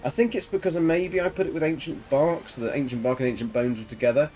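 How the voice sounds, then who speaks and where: Soft spoken guy streaming a game, talks to chat and mumbles to himself, pretty average pitch. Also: fast, slight background noise. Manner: mumbles to himself while sorting items, chatting to viewers. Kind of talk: relaxed live gameplay commentary.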